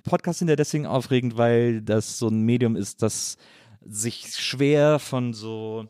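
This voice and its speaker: A man's voice: medium pitch, unhurried, a little mellow, no room sound, studio quality. A man hosting a casual interview, speaking informally in long, rambling, enthusiastic sentences. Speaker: podcast host, interviewer.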